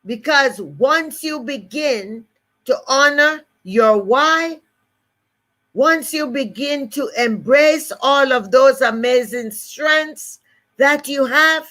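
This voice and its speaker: An older woman with a Jamaican accent speaks slowly and deliberately in a motivational talk. She stresses and repeats her main phrases. Her voice sits a little high. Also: sharp tone. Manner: emphatic, repeats key phrases. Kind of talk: motivational live-stream talk.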